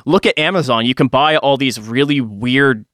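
A man with a bright, forward voice chats casually as a podcast co-host. He is animated and slangy, and the sound is dry and close. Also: mid-range voice, moderate pace, dry recording.

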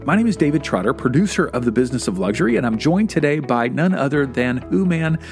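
A man's voice: mid-range voice, very animated, fast, faint music behind. A man producing and hosting a podcast interview, asking questions in an upbeat, encouraging way.

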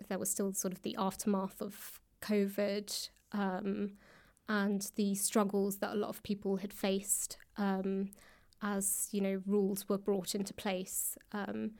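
A woman answering as a guest in a podcast interview, speaking conversationally in a thoughtful, hesitant way with frequent pauses. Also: mid-range voice, a little mellow. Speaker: guest author and actor. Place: library room.

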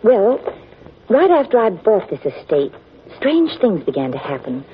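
A crisp, guarded lady who owns a country estate. Her pitch moves expressively in old radio drama style. The vintage recording is dull, with light hiss. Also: big pitch swings, a little slow. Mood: anxious, forthcoming. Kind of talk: 1940s radio drama acting. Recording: muffled, hissy 1940s radio recording.

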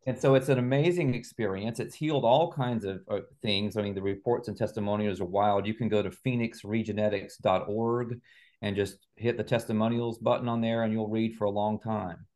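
Low-voiced middle-aged man explaining. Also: fairly even intonation, a little fast, a little mellow. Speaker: guest, author and healing practitioner. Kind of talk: conversational interview answers.

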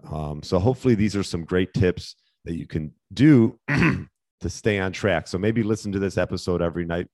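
A man hosting a fitness podcast, giving casual, rambling advice with plenty of fillers while losing his voice and clearing his throat. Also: deep voice, normal speed, no room sound, clean studio sound. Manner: relaxed, rambling with fillers and restarts. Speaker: podcast host and fitness coach.

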